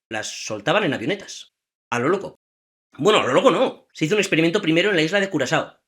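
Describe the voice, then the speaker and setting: Lively man. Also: high voice. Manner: lively, asks listeners rhetorical questions. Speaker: trivia podcast host. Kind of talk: casual storytelling chat.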